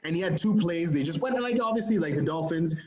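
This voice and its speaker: A man co-hosting a casual sports podcast, animated and laughing often, with plenty of fillers. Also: on the high side, fast talker, dull audio. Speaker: podcast co-host.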